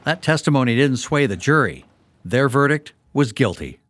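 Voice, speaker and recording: A man hosting a history podcast, narrating in a clear storytelling manner. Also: medium pitch, very animated, moderate pace, fairly bright tone. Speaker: podcast host. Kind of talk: scripted documentary narration.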